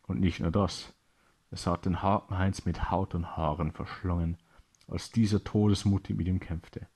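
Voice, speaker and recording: A man tells a children's story in a lowish voice. His tone is dark and soft-edged, with some huskiness. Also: steady tone, normal speed, husky.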